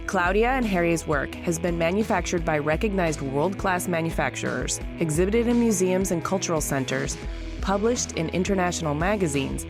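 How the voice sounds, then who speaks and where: Upbeat lady podcast host interviewing a guest, music playing behind. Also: lowish voice.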